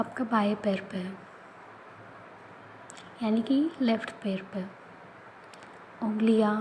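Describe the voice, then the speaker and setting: A woman leading a guided yoga nidra relaxation, speaking in soft, often whispered instructions. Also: a little high, slight background noise, rough recording. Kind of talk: guided meditation.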